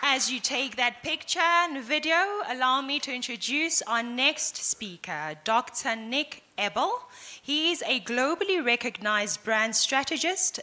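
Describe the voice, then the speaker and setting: Female conference MC, high bright voice, formal announcing delivery. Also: expressive, unhurried, a faint crowd. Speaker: master of ceremonies. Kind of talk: formal speaker introduction. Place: conference hall.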